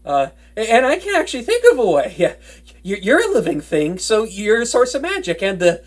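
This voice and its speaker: A woman playing a nervous, smitten young wizard in an intimate roleplay audio drama, with a stammering, excitable and bookish way of talking. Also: medium pitch, a little fast. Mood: nervous, eager. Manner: flustered stammering, hesitant, breathless enthusiasm.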